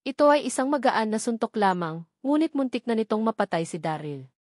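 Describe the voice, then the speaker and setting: A woman's voice: a little high, big pitch swings, a little fast, a little mellow, dry recording, studio quality. A woman reading a scripted story as an audiobook narrator.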